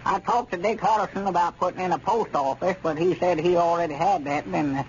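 An actor playing a naive, folksy old rural storekeeper with a Southern country accent in a vintage radio comedy. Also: male voice, high voice, fairly quick, natural voice. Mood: hesitant, surprised. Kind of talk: 1930s radio comedy acting. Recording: muffled, noisy old radio recording.

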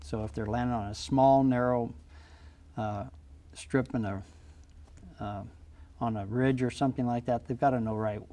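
A man's voice: medium pitch, fairly even intonation, moderate pace, pauses often, dark tone. Plainspoken older man pilot guest. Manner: practical, plainspoken explaining with repeated phrases. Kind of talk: studio interview. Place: small TV studio. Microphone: lapel mic.

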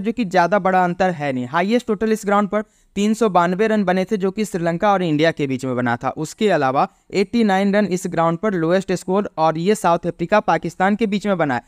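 A man presenting an explanatory cricket pitch report as a voice-over. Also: clear, resonant tone, no echo, studio quality.

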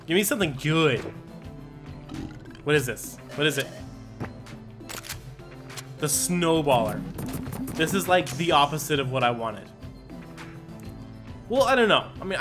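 A man giving let's play commentary, thinking aloud, reading item text and breaking into quick excited exclamations. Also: on the high side. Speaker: gaming channel host. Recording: game music playing underneath. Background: game music.

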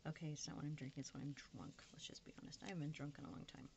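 A woman with a creaky voice rambles casually and candidly to her viewers, poking fun at herself and half talking to herself as she crafts at a table. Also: expressive, racing, in a whisper. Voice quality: low, creaky voice. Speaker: craft vlogger. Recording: noisy room recording with background hiss.

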